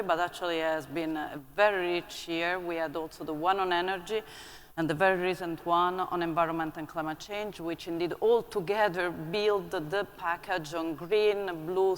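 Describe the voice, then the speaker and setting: A panelist at a conference giving measured remarks and listing points. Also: medium pitch. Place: conference hall. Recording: long hall reverb, faint room noise.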